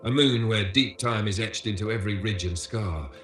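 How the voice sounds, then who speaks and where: A man with a fairly low voice delivers scripted documentary narration. His manner is measured and solemn, like a tour guide presenting an educational film. The voice sounds roomy and reverberant, with music playing clearly behind it. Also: a bit bright.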